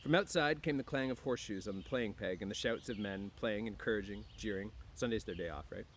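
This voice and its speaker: A man's voice: on the low side, fairly quick. A man with an easygoing teacher's delivery, talking to students and reading a book aloud outdoors. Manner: frequent throat clearing. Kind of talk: casual chat to students and book read-aloud. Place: outdoors near woods. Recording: clear outdoor background noise.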